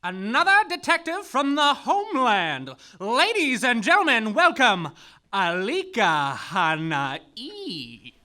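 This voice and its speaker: A performer plays the emcee of a slam night in a live theater comedy. The voice is bright and the pitch swings widely. Each announcement is drawn out slowly and showily. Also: huge pitch swings. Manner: slow, showy announcer delivery, then a whispered noir monologue. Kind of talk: live staged comedy acting.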